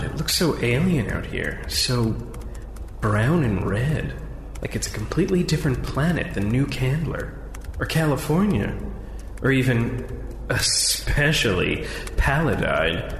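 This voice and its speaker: A man's voice: medium pitch, sing-song, a little slow. A man playing an earnest, idealistic activist who muses about nature in an audio drama. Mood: wistful, musing. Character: Liam, idealistic, nature-loving anti-capitalist man. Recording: echoey, reverberant, faint background noise.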